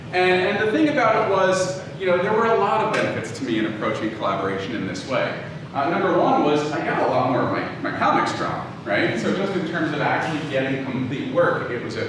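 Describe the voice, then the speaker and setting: Man lecturing informally in a very echoey room. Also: medium pitch. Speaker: lecturer, audio drama writer and producer. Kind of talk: informal lecture with personal anecdotes. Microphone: distant from the speaker. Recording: very echoey room, distant mic, clearly noisy.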